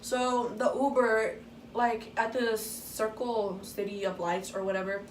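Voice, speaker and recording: A woman's voice: a little high, flat delivery, a little slow. A teenage girl casually telling a story, swearing freely and acting out what people said. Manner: casual, swears freely, acts out quoted dialogue. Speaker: vlogger. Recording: echoey room, distant mic, faint noise.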